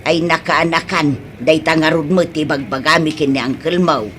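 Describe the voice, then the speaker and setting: Provincial mother, middle-aged woman performer, Ilocano accent, lower register, plain-spoken and concerned, comedy drama, slightly noisy track. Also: fairly even intonation, normal speed, fairly bright tone. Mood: matter-of-fact. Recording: noticeable background noise.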